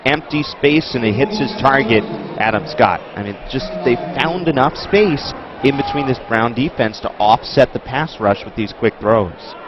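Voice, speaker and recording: A man's voice: mid-range voice, normal speed, crowd noise. A man giving color commentary on a college football game, explaining plays as replays run. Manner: explanatory, walks through replays. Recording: dull broadcast audio.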